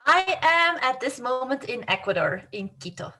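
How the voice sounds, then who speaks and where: Bright-voiced Flemish-accented woman. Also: high-pitched, sing-song, normal speed. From northern Belgium. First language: Flemish Dutch. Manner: friendly, chatty, with light laughs and fillers. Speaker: guest, civil engineering professor. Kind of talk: casual interview chat.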